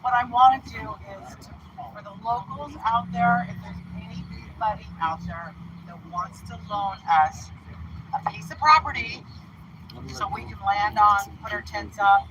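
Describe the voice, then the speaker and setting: A woman calmly and politely asking locals outdoors for help. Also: mid-range voice, a little slow, dull audio, noisy background. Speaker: convoy participant making an appeal. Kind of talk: casual spoken appeal. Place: outdoor parking lot.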